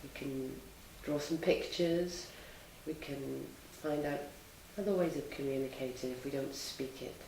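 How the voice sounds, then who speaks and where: A woman in her thirties answers interview questions calmly and thoughtfully, and her voice carries a gravelly edge. She sounds a little distant from the mic in a reverberant room, with faint hiss underneath. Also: a bass voice. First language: English. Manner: calm, measured, thoughtful. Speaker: teacher.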